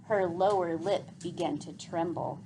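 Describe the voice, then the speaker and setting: A woman storyteller reading a children's book aloud with expressive narration. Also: fairly low pitch, unhurried, slight background noise, poor audio.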